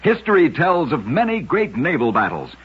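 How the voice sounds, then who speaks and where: Husky, animated man narrating vintage radio oddities. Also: on the high side, normal speed, dull audio. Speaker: radio storyteller, host.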